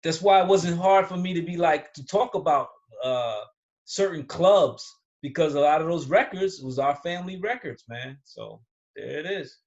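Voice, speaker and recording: A man's voice: a little high, moderate pace, a little mellow. An American man in a casual conversation who rambles, restarts and trails off. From United States. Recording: dull lo-fi sound.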